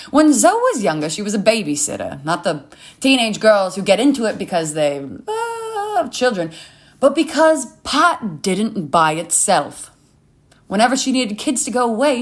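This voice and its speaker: Animated woman narrating a comic story. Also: on the high side.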